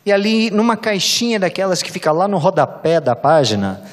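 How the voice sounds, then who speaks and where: A woman's voice: lowish voice, big pitch swings, a little fast. A woman with a Brazilian accent interpreting a church address live, phrase by phrase. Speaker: consecutive interpreter. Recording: echoey hall with long reverb.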